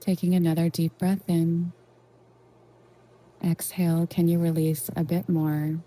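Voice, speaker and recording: A woman leading a guided meditation in a gentle, reassuring, unhurried way with long pauses. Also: lowish voice, flat delivery, rough recording. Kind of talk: soothing guided meditation narration.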